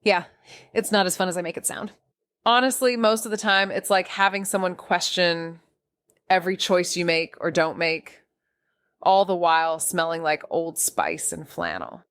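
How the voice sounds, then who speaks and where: Wry, low-voiced young woman acted, noir drama. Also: normal speed, fairly bright tone, smooth, no echo, clean studio sound, close to an everyday voice. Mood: sardonic, confiding. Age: young adult. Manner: wry, sarcastic, slangy. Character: sardonic, restless young woman, small-town dropout amateur sleuth. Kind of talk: first-person noir audio drama narration with dialogue.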